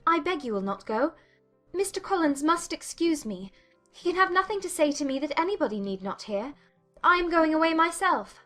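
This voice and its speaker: A woman's voice: high voice, very animated, normal speed, a bit bright, faint music behind, voice pushed up. A woman voicing a polite but distressed young daughter in an audiobook drama, urgent and pleading.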